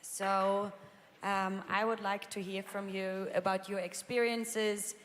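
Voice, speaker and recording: A woman's voice: medium pitch, little pitch movement, slow, fairly bright tone. A woman moderating a panel discussion, hesitant, with many fillers and restarts. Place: large conference hall. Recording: reverberant conference hall, faint ambience.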